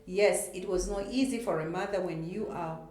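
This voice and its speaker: A middle-aged woman from Zambia, a mother and teacher, telling her story as a guest in a relaxed interview. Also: mid-range voice, normal speed, faint music behind. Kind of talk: casual interview talk. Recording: echoey room.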